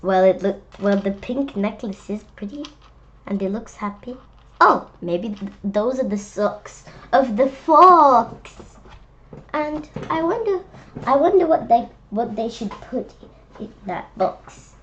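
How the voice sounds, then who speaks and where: Animated, high-voiced woman reading to children. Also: slow, lo-fi sound, slight background noise. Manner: animated, chatty, addresses young listeners directly. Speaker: children's book read-aloud host. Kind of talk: children's read-aloud with playful asides.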